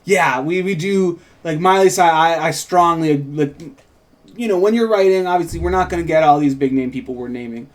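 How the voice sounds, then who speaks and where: Male co-host, fairly high voice, casual chat, opinionated, explanatory, script writer talking through his work. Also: fairly quick.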